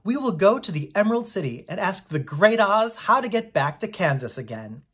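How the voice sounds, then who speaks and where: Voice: mid-range voice, sing-song, moderate pace, fairly bright tone, breathy edge. A performer voicing an ordinary, polite, curious little girl in a storybook. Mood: cheerful.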